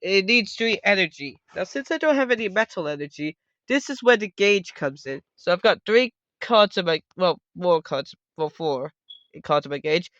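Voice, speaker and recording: A man with a high, smooth voice gives a casual, off-the-cuff tutorial. He talks loudly and wanders, often correcting himself. The sound is close and dry but dull and lo-fi. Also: lively intonation, normal speed, dry recording. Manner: loud, rambling, self-correcting. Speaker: host of a card game tutorial video. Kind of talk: casual unscripted how-to explanation.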